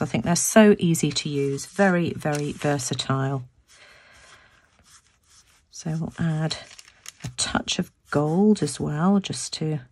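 A woman with a croaky voice talking through a craft demonstration at her table, thinking aloud as she works and often repeating words. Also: low voice, a little mellow. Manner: chatty, thinking aloud with restarts. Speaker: craft video host. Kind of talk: casual craft tutorial narration. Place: home craft room, tabletop.

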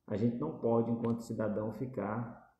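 A man's voice: mid-range voice, steady tone, moderate pace, reverberant, dull audio, a little hiss or hum. A middle-aged man with a Brazilian accent, speaking earnestly and repetitively to a phone camera in a small indoor room. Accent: Brazilian Portuguese. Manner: earnest, repetitive, gestures while talking. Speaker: political commentator. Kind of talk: direct-to-camera opinion talk. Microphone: phone camera at arm's length.

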